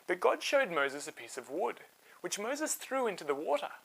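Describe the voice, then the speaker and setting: A storyteller retelling Bible tales for children in an expressive, simple and conversational way. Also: mid-range voice, normal speed, creaky, breathy edge, rough recording.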